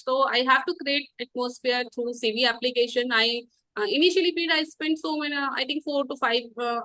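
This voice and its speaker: A North Indian woman with Hindi as her first language talks casually as an interview guest. Her voice is high and bright, recorded dry and close. Her learner's English comes haltingly, with a giggly manner. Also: no echo, rough recording. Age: 30s.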